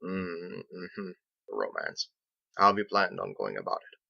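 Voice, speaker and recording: A man voicing a genteel, wry, philosophical sea captain who speaks formally and deliberately in a storybook reading. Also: deep voice, sing-song, vocal fry, dry recording, muffled recording, full character voice. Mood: gently wry. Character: genteel, measured, pipe-smoking male sailor captain, wry and philosophical.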